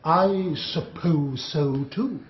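A theatrical British barrister in a radio play. The voice is high for a man, with incisive, deliberate emphasis and a sardonic edge. The sound is roomy and a little dull. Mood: pressing, stern. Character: theatrical, relentless defence barrister cross-examining witnesses. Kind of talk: radio courtroom drama.

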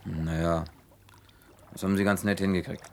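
A man's voice: deep voice, little pitch movement, moderate pace, gravelly, a little hiss or hum. An actor playing a stern, stubborn and disapproving man in a German radio drama, blunt and reproachful in delivery.

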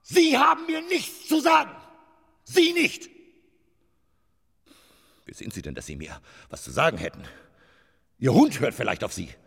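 An actor playing a vain, hard-drinking stage performer in a radio drama, pompous and declamatory, with a husky, gravelly voice. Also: male voice, on the high side, big pitch swings, a little slow, pauses often, a bit bright, exaggerated voice. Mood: furious. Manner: declamatory, pompous, swinging from murmur to outburst. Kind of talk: German radio drama acting. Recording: long reverberant hall.

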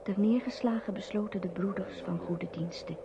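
A woman's voice: mid-range voice, moderate pace. A woman reading scripted narration for a radio play. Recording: muffled noisy old radio recording.

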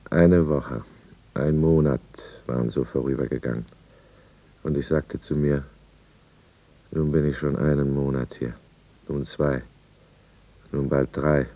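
A man's voice: extremely low pitch, vocal fry, lo-fi sound, exaggerated voice. An actor playing an anxious, evasive middle-aged alcoholic businessman in a 1950s German radio drama, with careful, self-justifying diction. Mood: brooding, reflective.

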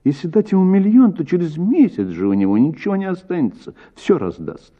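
A man acts out an earnest woman in a literary audio story, pleading and soft-hearted. The voice is lifted well above a man's usual talk and moves in lively curves. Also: high voice, brisk, dull audio, pitched above the performer's own voice.

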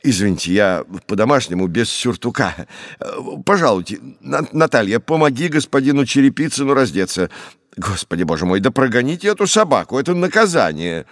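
A man voicing a jovial, fussy middle-aged father in a storybook, with a drawn-out singsong delivery and an easily exasperated temper. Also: medium pitch, big pitch swings, no room sound, clean studio sound, pitched above the performer's own voice, close to an everyday voice. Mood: flustered, welcoming.

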